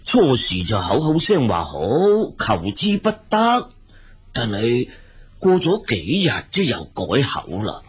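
An actor playing a weary, exasperated elderly father in a radio drama, speaking Hong Kong Cantonese with a husky voice and sighing, drawn-out complaints. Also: mid-range voice, wildly swooping pitch, heavily acted. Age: older.

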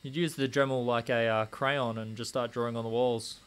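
A young man talks in an easy, rambling way on a live stream, with a voice sitting at an ordinary middle pitch. He chats to viewers from a cramped home desk corner, picking up their comments one after another while he keeps working. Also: fairly even intonation, normal speed, clean tone. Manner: rambling, answers chat comments aloud. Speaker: stream host polishing gemstones. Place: small home desk corner with a rotary tool running.

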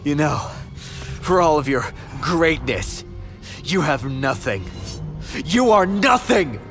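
A man's voice: on the high side, sing-song, slow, fairly bright tone, faint music behind, heavily acted. A man playing a bitter, arrogant villain who makes menacing threats in a dramatic game performance. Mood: contemptuous, angry. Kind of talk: dramatic video game acting.

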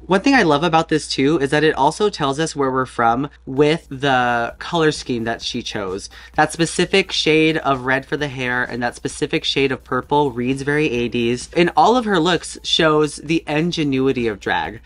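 A man's voice: medium pitch, a bit bright. Male drag performer, guest, jokey casual banter. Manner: chatty, joking, quick asides. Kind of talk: casual comedic chat. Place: home room with costumes behind.

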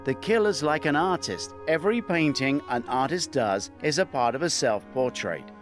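A man's voice: mid-range voice, animated, normal speed, soft background music, lightly acted. A man voicing a good-natured, plain-spoken male bodyguard in an audiobook. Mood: explaining.

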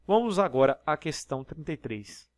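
A man's voice: on the high side, big pitch swings, normal speed, dry recording. A Brazilian man teaching math over a handwritten screen, explaining each step and checking in with listeners as he goes. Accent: Brazilian Portuguese. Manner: explanatory, step-by-step, frequent tag questions. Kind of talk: exam problem walkthrough. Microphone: voice-over on screen recording.

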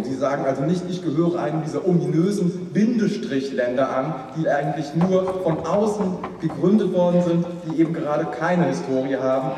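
A man's voice: fairly high pitch, warm tone, a little hiss or hum. Young man debating in an echoey hall. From Rhineland-Palatinate, Germany. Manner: formal, measured, repeats phrases as he builds his case. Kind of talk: competitive debate speech. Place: large hall, at a lectern. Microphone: far camera. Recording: echoey hall recorded from far off.

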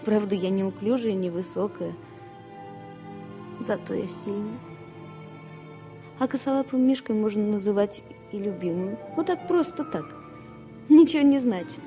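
A young stewardess, anxious and deeply in love. Her mid-pitched voice is earnest and halting. Music runs behind her in an old radio drama. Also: animated. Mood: anxious, lovestruck. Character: lovestruck anxious young stewardess, small, stubbornly devoted. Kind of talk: radio play inner monologue. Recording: music bed behind 1970 radio play.

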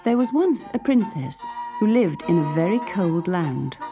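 British lady reading a kids fairy tale, really animated, music underneath. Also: lowish voice, a little slow. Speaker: storyteller. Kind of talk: expressive children's story narration.

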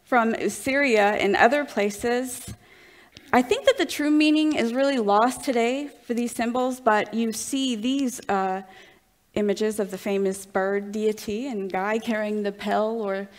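A young woman with a Southern US drawl lectures from a lectern in a large dark hall, speaking into the lectern microphone. She talks earnestly and eagerly, with pauses and fillers as she walks through her slides. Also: a little high. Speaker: conference lecturer.

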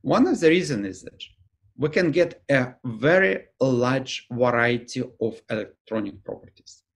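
A middle-aged man from Ukraine with a Ukrainian accent, giving a remote academic lecture over slides on a webcam, fluent but dropping articles and pausing with "uh". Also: mid-range voice, very animated. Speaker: professor and lecturer. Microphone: video call webcam.

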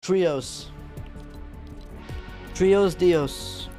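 A man's voice: high-pitched, drawn-out words, dark tone, soft background music. A man streaming video games, chatting casually with a slangy, playfully pleading manner and some swearing. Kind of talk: casual gaming chat. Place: indoor room at home.

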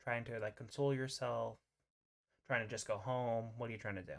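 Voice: medium pitch, fairly even intonation, a little fast, vocal fry. A game master casually narrating an online roleplay over a voice call, in an informal, hesitant way.

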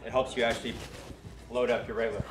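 A man coaching a workout in a large indoor gym, giving quick, instructive cues with a teasing edge. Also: mid-range voice, flat delivery, over music, rough recording. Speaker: trainer.

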